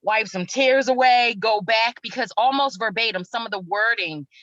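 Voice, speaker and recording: Bright, forceful woman, slightly dull recording. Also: fairly high pitch, moderate pace, dry recording. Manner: expressive, emphatic, voices her thoughts as quotes. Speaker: stage actress. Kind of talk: casual interview talk.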